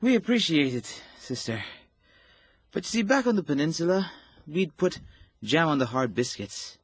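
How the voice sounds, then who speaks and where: Guy acting a cheeky young army private, husky voice, up and down pitch, radio play. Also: big pitch swings, moderate pace, no room sound. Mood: cheerful, polite. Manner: cheeky, talkative. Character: cheeky, chatty young wounded male soldier, a private. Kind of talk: period radio drama acting.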